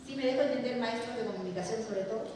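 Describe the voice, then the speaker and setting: A woman's voice: on the high side, quick pace, a crowd in the background. A middle-aged Peruvian woman with a Peruvian Spanish accent, giving a talk to teachers and often asking questions and answering them herself. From Peru. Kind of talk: lecture to a hall of teachers. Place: large lecture hall. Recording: echoey hall recorded from far off.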